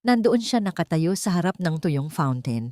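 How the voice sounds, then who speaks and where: A woman's voice: lowish voice, big pitch swings, normal speed, a little mellow, dry recording, clean studio sound. A woman with a Filipino accent reading a scripted romantic drama as a story narrator.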